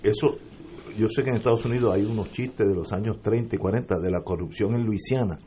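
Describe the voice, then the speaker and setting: A middle-aged Puerto Rican man on a political radio panel, speaking emphatically and building to forceful points. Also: on the low side, a little fast, a little hiss or hum. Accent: Puerto Rican Spanish.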